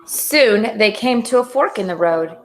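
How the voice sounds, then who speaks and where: A woman reads a children's storybook aloud like a teacher to young pupils. Her pace is slow and her pitch is lively and varied, in a clearly expressive storytelling style.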